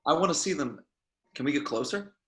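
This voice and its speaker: A man's voice: fairly high pitch, animated, fairly quick, background noise, lightly acted. A man playing a curious, intense scientist, eager and probing, in a table read of a film script.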